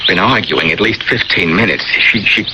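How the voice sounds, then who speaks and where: Male actor as young husband, low-pitched, hesitant, defensive, radio drama, noisy old broadcast. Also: moderate pace, close to an everyday voice. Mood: defensive, weary. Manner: hesitant, stammering when cornered.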